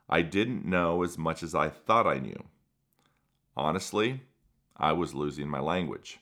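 A middle-aged man whose first language is Spanish, hosting a podcast as a former school principal and speaking in an earnest, measured way. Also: rumbling low, a bit bright. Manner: earnest, measured, builds lists for emphasis. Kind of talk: reflective podcast monologue.

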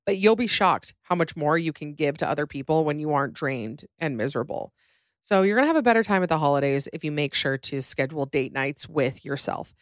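A woman's voice: low voice, a little fast, dry recording, studio quality. A woman podcast host reacting to listener voicemails in a chatty, wry and joking way. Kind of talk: casual chat reacting to voicemails.

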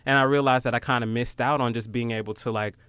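A young American man with a clear, smooth voice in a very dry recording. He talks informally and thoughtfully, acting out remarks as he goes, in a middle pitch. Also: fairly even intonation, fast, fairly bright tone, dry recording. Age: late 20s. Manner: informal, reflective, acts out quoted remarks. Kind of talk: casual conversational talk.

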